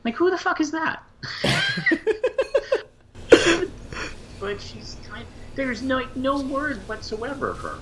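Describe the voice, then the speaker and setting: A man's voice: extremely high pitch, wildly swooping pitch, slow pace, long pauses, heavy background noise. A man in casual conversation as a podcast co-host, hesitant and thinking aloud as he goes. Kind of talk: casual chat.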